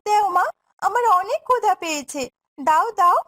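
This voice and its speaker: A woman's voice: extremely high pitch, animated, moderate pace, pushed and bright, exaggerated voice. A woman playing a meek, kind, hard-done-by young stepdaughter in a fairy-tale cartoon, with a plaintive, dutiful way of speaking.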